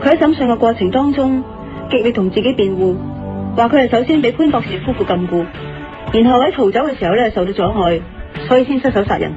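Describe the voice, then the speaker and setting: An actress playing a sharp, confident woman who reasons things out deliberately in a Cantonese audio drama, with a Hong Kong accent. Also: on the high side, expressive, close to an everyday voice. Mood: matter-of-fact. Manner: deliberate, didactic, assured. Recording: slightly muffled radio drama, music underneath.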